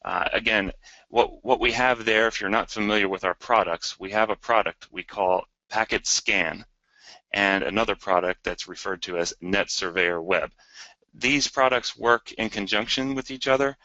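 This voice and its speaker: A man's voice: lowish voice, normal speed, bright, forceful tone, no room sound. A man presenting products in a webinar, speaking hesitantly and repeating words. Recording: dry but dull lo-fi webinar audio.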